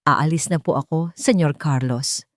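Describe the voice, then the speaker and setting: A woman's voice: deep voice, moderate pace, no echo, clean studio sound, natural voice. A woman with a Filipino accent voicing a meek, remorseful old housekeeper in an audio storybook. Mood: resigned. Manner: meek, subdued.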